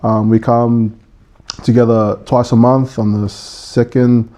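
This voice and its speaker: A man in his twenties from Samoa, a podcast guest chatting casually with many pauses and fillers. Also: medium pitch, flat delivery, warm tone. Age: 20s. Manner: easygoing, laughs often, many ums.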